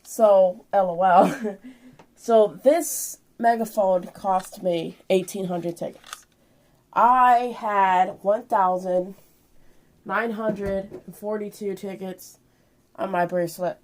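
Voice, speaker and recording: A woman's voice: medium pitch, fairly even intonation, slow pace, darkish tone. A woman in her twenties talking informally and a bit ramblingly through a show-and-tell vlog, explaining things hands-on. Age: 20s. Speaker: vlogger showing purchases.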